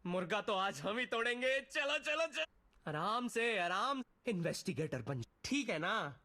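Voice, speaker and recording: A cocky male fighter from a game voice pack, high and sharp. His mocking punchlines swing theatrically up and down. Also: big pitch swings, unhurried, full character voice. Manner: theatrical, taunting, filmi punchlines.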